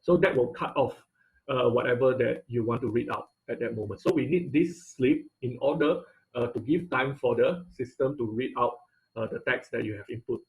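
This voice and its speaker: A man's voice: mid-range voice, moderate pace, poor audio. A man teaching an online robotics class, explaining code step by step with frequent fillers and "okay?" checks. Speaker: robotics instructor. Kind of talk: online class lecture with screen share.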